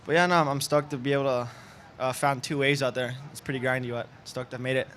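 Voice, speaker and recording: A man's voice: medium pitch, steady tone, fast talker, fairly bright tone. A man from Panama, a competitive surfer, chatting casually in an interview with laid-back surfer slang.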